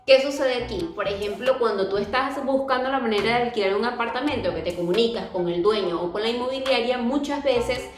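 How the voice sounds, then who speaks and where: A young woman with a Venezuelan accent talks earnestly to her vlog audience, often pausing with fillers and restarting her sentences. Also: fairly high pitch. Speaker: YouTuber. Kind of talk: casual vlog talk to camera. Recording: echoey room with music behind.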